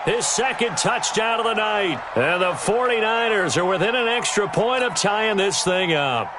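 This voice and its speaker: A man's voice: high voice, animated, moderate pace, a crowd in the background. A man with an American accent calling play-by-play as the announcer in a football video game. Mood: excited. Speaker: play-by-play sports commentator. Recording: game audio under loud stadium noise. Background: stadium noise from a football video game.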